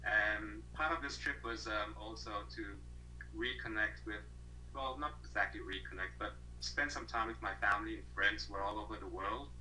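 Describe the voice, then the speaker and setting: A man's voice: fairly low pitch, steady tone, normal speed, sharp tone, slight background noise, low-quality recording. A man in his thirties from Malaysia, a guest on an interview over a video call, talking thoughtfully with many fillers and restarts.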